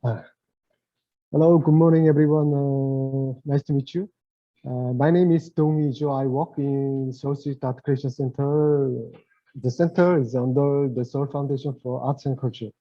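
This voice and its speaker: A middle-aged man with a Korean accent, giving a webinar presentation in English as his second language, halting and searching for words. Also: medium pitch, steady tone, mellow. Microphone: video call. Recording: lo-fi video call audio.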